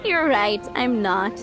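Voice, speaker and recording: Teasing, unhurried young tavern boss. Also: female voice, on the high side, heavily acted. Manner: playful, wry. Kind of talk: video game character acting. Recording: music playing behind the voice.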